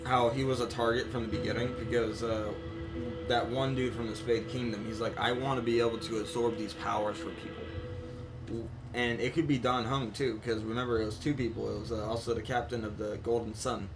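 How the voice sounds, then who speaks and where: A man's voice: medium pitch, level tone, a little fast, rough recording. A man chatting casually on a live game stream, rambling and slangy as he talks through his thoughts. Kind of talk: casual live stream chat recapping and theorizing. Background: game music.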